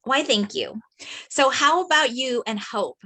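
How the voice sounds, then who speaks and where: A bubbly, tactless young friend asks blunt questions, read by a woman at a table read. Her voice is high and bright, with an edge to it. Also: lively intonation, unhurried, exaggerated voice. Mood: bubbly, nosy.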